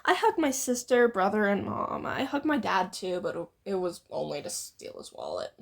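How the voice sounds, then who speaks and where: A woman voicing a blunt, matter-of-fact teenage boy in a deadpan way for a story reading. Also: medium pitch, normal speed, creaky, pitched below the performer's own voice. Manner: monotone, blunt.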